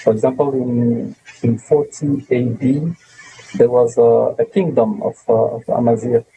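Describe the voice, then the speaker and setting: A man's voice: medium pitch, flat delivery, unhurried, mellow, low-quality recording. A man from Morocco, a native Arabic speaker with a Moroccan accent, answers interview questions in a hesitant way with many fillers and repeated words. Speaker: tourism board representative.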